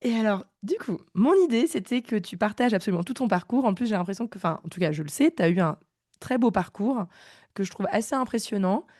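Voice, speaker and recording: Woman host in her thirties, mellow voice, dry close sound, enthusiastic. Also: medium pitch, expressive, brisk, dry recording. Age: 30s. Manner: enthusiastic, chatty. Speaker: podcast host. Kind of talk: casual interview chat.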